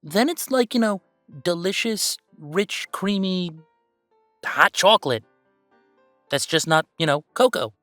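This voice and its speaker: A man's voice: high-pitched, animated, a little slow, long pauses, fairly bright tone, no room sound, soft background music. A man playing a playful, easygoing young werewolf boyfriend in a casual roleplay audio, chatting in a teasing, emphatic way.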